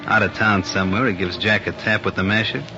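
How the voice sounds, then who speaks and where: An actor playing a smooth, ruthless gangster in a 1940s radio crime drama, scheming with his lover. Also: fairly low pitch, fairly even intonation, quick pace, lightly acted. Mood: confident, scheming. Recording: noisy, muffled old 1940s radio recording.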